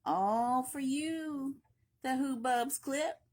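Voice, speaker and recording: A woman reads a picture book to children in a fairly high voice. Her delivery is playful and emphatic, like a library story time, and she drops in short asides between the lines of the tale. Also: very slow, a little mellow. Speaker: library read-aloud reader. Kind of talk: expressive children's picture-book read-aloud with brief asides.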